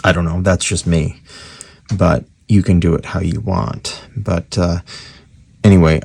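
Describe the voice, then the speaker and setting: Very deep, gravelly-voiced man explaining casually. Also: steady tone, measured pace, a little mellow. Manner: rambling, conversational, matter-of-fact. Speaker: radio repair hobbyist presenting a tutorial. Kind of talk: casual unscripted workbench explanation.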